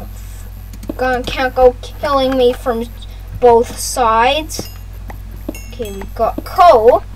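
A high-pitched gaming host talks slowly through casual gameplay commentary. Phrases often get repeated and aimed straight at viewers, over some faint background noise. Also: rough recording.

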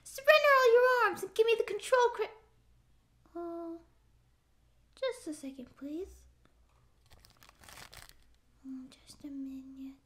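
Very high-pitched timid catgirl space pirate. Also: unhurried, pauses often, a bit bright. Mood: sheepish, rambling. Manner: nervous bluster that slips into meek rambling. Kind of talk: scripted ASMR audio roleplay.

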